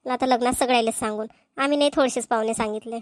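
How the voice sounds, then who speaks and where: A woman acting as a talkative cartoon village woman with a Vidarbha Marathi accent. Her voice sits high and rattles along quickly, full of folksy family chatter, in a dry, close sound. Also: steady tone, no echo, close to an everyday voice. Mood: sociable. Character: chatty village women gossiping at a family engagement. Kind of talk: amateur cartoon dialogue acting.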